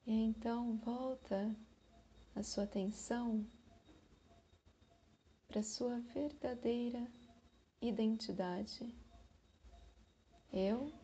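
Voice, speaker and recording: Very slow Brazilian woman guiding meditation. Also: a little high, warm tone, slightly rough. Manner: soothing, unhurried, with long pauses between short phrases. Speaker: meditation guide.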